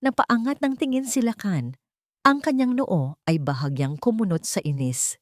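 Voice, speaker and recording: A Filipino woman reading a scripted love story in a measured, dramatic, sentimental way. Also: medium pitch, lively intonation, dry recording, studio quality.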